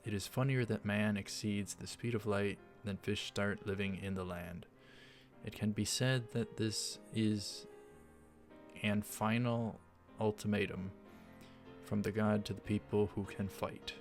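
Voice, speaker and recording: Low-voiced man hosting a let's play. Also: fairly even intonation, unhurried. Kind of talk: casual game commentary. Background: faint game music.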